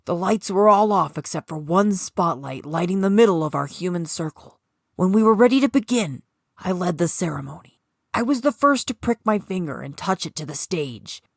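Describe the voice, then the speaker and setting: A woman's voice: on the low side, lively intonation, normal speed, gravelly, dry recording, studio quality. A woman narrating a supernatural short story from a script, as its author.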